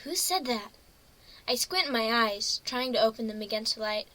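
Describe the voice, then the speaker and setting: A woman's voice: a little high, expressive, normal speed, sharp tone, rough recording. A woman voicing a naive, dazed female unicorn pony in a first-person story reading, dreamy and hesitant. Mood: dazed, anxious.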